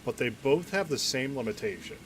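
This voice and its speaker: Male game streamer: average pitch, casual analytical commentary with thinking pauses, slight background noise. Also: animated.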